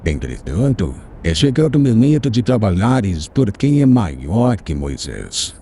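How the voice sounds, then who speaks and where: A man's voice: lowish voice, sing-song, a little slow, warm tone, slight background noise. A man voicing a venerable elderly teacher who counsels with solemn tenderness in an audiobook. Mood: solemn tender. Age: older. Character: venerable elderly male teacher, gentle and wise. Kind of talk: audiobook character reading.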